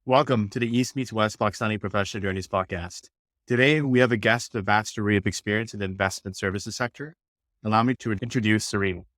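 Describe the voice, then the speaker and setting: A man hosting a podcast, briskly reading a formal guest introduction and then asking questions. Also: fairly low pitch, fairly bright tone, dry recording. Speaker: podcast host.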